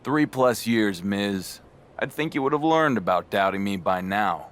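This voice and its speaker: An actor playing a calmly defiant male wrestling champion in a game cutscene, speaking in a smug, deliberate way. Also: on the low side. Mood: cool defiance. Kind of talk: scripted wrestling drama cutscene.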